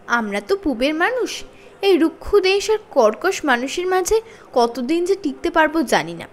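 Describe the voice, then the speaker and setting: A woman's voice: sits high, sing-song, normal speed. A woman voicing a boastful, affected young schoolgirl in a children's story, with haughty, dramatic delivery.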